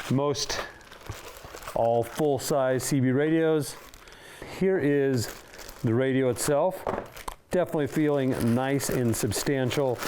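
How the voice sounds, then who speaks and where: Man, medium pitch, informal product presenter, casual hands-on walkthrough, noticeable background noise. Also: a little mellow. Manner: informal, talks while handling items. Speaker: product reviewer for a radio shop.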